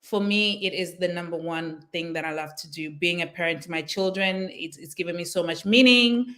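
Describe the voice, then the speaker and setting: A woman, a parenting coach speaking as a guest on a live online interview over a video call, enthusiastic and quick to laugh. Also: fairly low pitch. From Zimbabwe. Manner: warm and enthusiastic, laughs easily.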